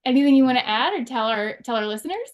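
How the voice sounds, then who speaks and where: A woman's voice: high-pitched, expressive, quick pace. Woman hosting an interview. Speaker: interview show host. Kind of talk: conversational interview.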